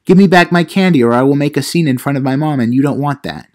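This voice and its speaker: A man's voice: fairly high pitch, fast talker, a little mellow, close to an everyday voice. A man voicing a feisty, threatening young trick-or-treating boy in a comedy audio play. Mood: feisty, demanding.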